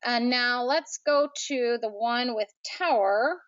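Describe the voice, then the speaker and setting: A woman's voice: a little high, takes it slowly, a bit bright, clear, resonant tone, lo-fi sound. A woman presenting a training session in an informal, conversational, unscripted way. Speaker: presenter of an online aviation training.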